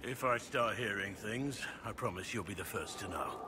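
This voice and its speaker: An actor playing a grave, weary, duty-bound veteran warrior in a video game, speaking in an earnest, formal, measured way. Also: male voice, on the low side, heavy background noise, lightly acted. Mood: sober. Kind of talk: dramatic video game dialogue.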